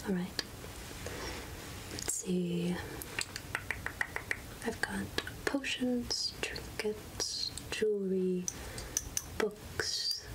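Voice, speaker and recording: A woman playing a gentle, absent-minded witch healer in a soft-spoken ASMR roleplay, half-whispering hesitantly close to the microphone. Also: mid-range voice, rough recording. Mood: curious, gentle. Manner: slow, gentle, hesitant murmuring with repeated phrases. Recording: close-up ASMR with clear background noise.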